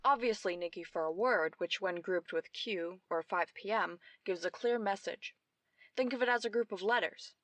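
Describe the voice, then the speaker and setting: A brilliant, self-assured young woman detective played by an actress in an old-time radio mystery. She explains each clue precisely and theatrically, in a mid-pitched voice. The sound is close and dry. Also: moderate pace, fairly bright tone, no room sound, lightly acted. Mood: patient, instructive.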